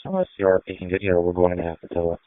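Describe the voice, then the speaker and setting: A man's voice: low voice, lively intonation, rapid-fire, no room sound. A man talking in brief, matter-of-fact exchanges over a two-way radio. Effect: radio transmission. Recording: narrow-band scanner radio.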